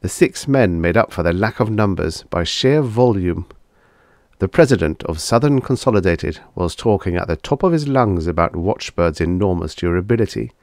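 A man reading vintage science fiction stories as a scripted audiobook, with a measured, dramatic storytelling delivery. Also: deep voice, animated, darkish tone, no room sound, clean studio sound. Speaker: audiobook narrator.

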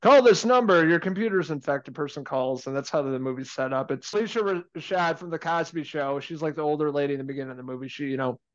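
A man in his thirties talks through a movie in a loose, chatty way, laughing easily. His voice sits a bit high. The sound is a little dull and lo-fi, like a webcam call. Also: fast, hardly any pauses. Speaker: movie review co-host. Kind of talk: casual film review chat. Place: home room indoors. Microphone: video call webcam.

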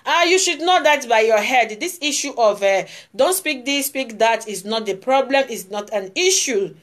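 A woman's voice: a little high, expressive, moderate pace. A woman in her forties with a Nigerian Igbo accent, talking straight to her phone camera in a casual vlog, emphatic and repeating her points. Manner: emphatic, repeats phrases, gestures while talking. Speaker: vlogger. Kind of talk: casual political vlog monologue. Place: indoor room before hung flags. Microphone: phone camera close up.

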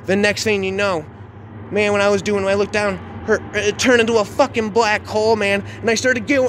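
A man telling a dark first-person story off the cuff in an agitated, repetitive, swearing, slangy way. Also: high voice. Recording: noisy outdoor recording with traffic ambience.

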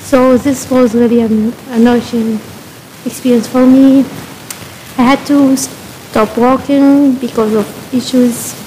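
A woman's voice: high voice, fairly even intonation, unhurried, mellow, slight background noise, poor audio. A woman from Egypt introducing herself at a community board meeting, speaking at a podium mic with many hesitations. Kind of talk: public meeting remarks. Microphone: podium microphone.

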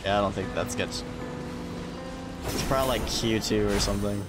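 A man's voice: on the low side, a little fast. A man chatting casually on a gaming livestream in a wry, sweary, muttering way. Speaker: live streamer. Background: game music.

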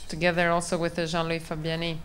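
A woman's voice: deep voice, completely flat delivery, brisk, fairly bright tone, a little hiss or hum. A woman researcher introducing her work at a conference, speaking steadily and fluently into a handheld microphone in a large hall. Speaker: university researcher.

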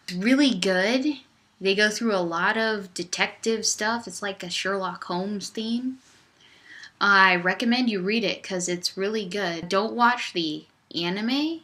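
A young woman talks to her webcam in a loose, upbeat ramble, her voice low for a woman yet bright and crisp. She sounds close to a laptop mic in a small room. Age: young adult. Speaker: vlogger. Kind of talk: casual vlog chat. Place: small dorm room, indoors. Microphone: laptop webcam at desk distance.

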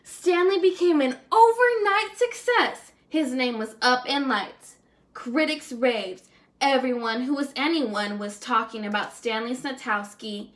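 A young woman reads a children's storybook aloud in a high-pitched voice. She sounds like a teacher with a class of small children, expressive in delivery and now and then stumbling on a word. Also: unhurried. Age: young adult. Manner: expressive, with stumbles over words. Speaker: teacher reading aloud to first graders. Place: indoors, small room at home.

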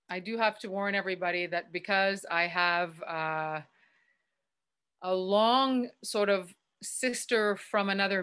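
Chatty, playful woman with a lowish voice. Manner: chatty and playful, with drawn-out fillers. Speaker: guest dancer and singer. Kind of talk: casual video call chat. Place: indoors in front of a green screen. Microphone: webcam on a video call.

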